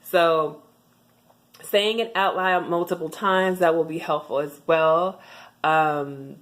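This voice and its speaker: Young woman, low voice, relaxed vlog tutorial, chatty and halting with ums. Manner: chatty, with frequent ums and restarts. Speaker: vlogger sharing language tips. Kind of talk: casual tutorial talk to camera.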